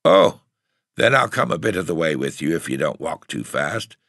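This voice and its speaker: A man voicing a frail, husky eighteen-year-old runaway tramp boy with a cocky, bitter, streetwise way of talking. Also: very deep voice, sing-song, moderate pace, fairly bright tone, dry recording, clean studio sound, full character voice. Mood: defiant, streetwise. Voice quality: husky, hoarse.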